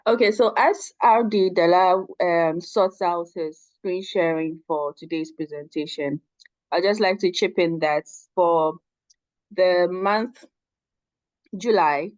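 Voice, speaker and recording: A woman from Ghana hosting an online professional seminar, making announcements in a measured way over a call. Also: lowish voice, no echo. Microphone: online call audio. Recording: dull, dry call audio.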